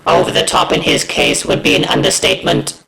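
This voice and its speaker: A man voicing a bossy, exasperated alien war machine in a toy parody, with a croaking voice and commanding, staccato delivery. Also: a little fast, a crowd in the background, natural voice. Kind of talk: fan parody voice acting. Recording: echoing room sound.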